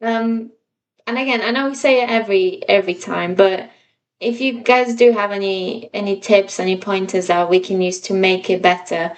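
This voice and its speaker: Woman podcast cohost, bit far from the mic, rambly casual chat, kinda self-deprecating, normal pitch. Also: fairly even intonation, moderate pace. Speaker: podcast co-host.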